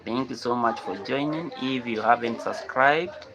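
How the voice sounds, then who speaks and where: A man's voice: medium pitch, unhurried, rough recording. A man from Kenya hosting a casual live stream, repeating his phrases and answering viewers as he reads their comments.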